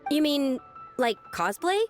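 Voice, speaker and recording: Earnest, high-voiced young girl. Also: lively intonation, a little slow, soft background music. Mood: puzzled. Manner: earnest, youthful, plain-spoken. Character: earnest, determined young girl striving for independence. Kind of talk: video game character acting.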